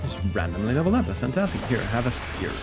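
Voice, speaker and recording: A man's voice: medium pitch, sing-song, fast talker, loud music behind the voice. A man chatting casually and playfully with viewers as he plays and comments on a game on a live stream.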